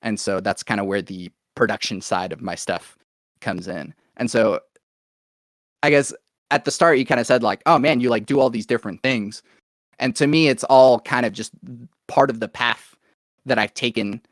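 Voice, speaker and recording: A man with an American accent telling his own story in a casual, rambling interview style, as if thinking out loud. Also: mid-range voice, moderate pace, dry recording, studio quality. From Oklahoma, United States, born in Venezuela. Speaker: podcast guest, musician and producer.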